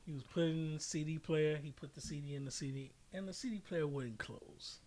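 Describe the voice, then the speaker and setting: A man's voice: on the high side, brisk, a little mellow, rough recording. A man co-hosting a podcast, telling stories and bantering casually in slangy, profane language. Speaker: podcast co-host. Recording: clear background noise, clicks.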